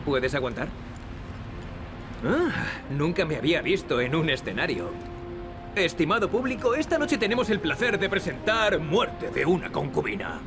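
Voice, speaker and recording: Cocky, animated young adventurer, Castilian Spanish. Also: male voice, fairly high pitch, normal speed. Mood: teasing. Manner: wry, teasing. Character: cocky sarcastic young male adventurer hero. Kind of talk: video game acting, European Spanish dub. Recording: loud music behind the dialogue. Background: loud game music.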